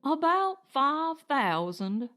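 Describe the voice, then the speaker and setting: A woman voicing an evasive, corrupt male director in an audiobook, with slow, wooden politeness. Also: high voice, sing-song, sharp tone, voice pushed up, heavily acted. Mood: calculating. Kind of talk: audiobook character dialogue.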